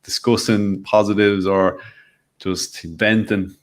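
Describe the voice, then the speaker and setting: A deep-voiced Irish man chatting as a guest on an online fan show. His talk is casual and self-deprecating, full of small restarts. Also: little pitch movement, slow pace. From Ireland. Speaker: podcast guest. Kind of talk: casual chat.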